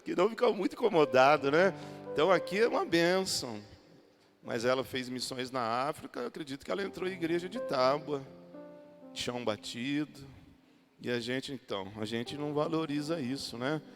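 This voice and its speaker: A man's voice: a little high, animated, brisk. A man with a Brazilian Portuguese accent, speaking as a pastor in a colloquial, joking way as he introduces a guest to a church. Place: church hall. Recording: reverberant hall with music.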